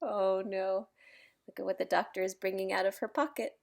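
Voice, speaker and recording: A woman's voice: medium pitch, steady tone, normal speed, creaky, slight background noise. A woman reading a picture book aloud to children, adding sympathetic asides about the characters. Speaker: read-aloud storyteller.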